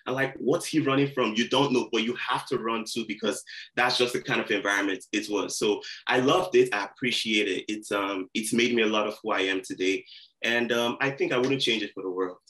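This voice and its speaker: A man in his twenties with a somewhat low voice. He tells stories as a podcast guest in a laid-back way, full of slang. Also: brisk, a bit bright, rough recording. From Nigeria. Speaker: guest rapper. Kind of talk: casual podcast interview.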